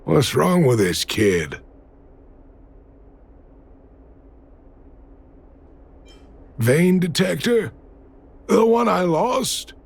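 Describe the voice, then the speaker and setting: Loving miner dad for a video game: creaky voice, animated pitch, gentle reassurance. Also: male voice, slow pace, exaggerated voice. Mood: concerned. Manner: tender, reassuring. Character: gentle, loving working-class miner father.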